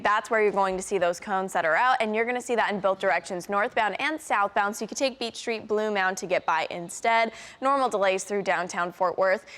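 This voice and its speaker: Traffic report lady on tv, mid pitch, echoey hall sound on the voice. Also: a bit bright.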